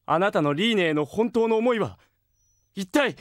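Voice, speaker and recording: An actor playing an anxious, earnest young villager who speaks in urgent, halting, pleading phrases in an anime audio drama. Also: male voice, high voice, animated, no room sound.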